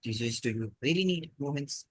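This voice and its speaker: A man's voice: medium pitch, expressive, a little fast, breathy edge, no echo. A man hosting a quiz, reading out prepared questions to contestants.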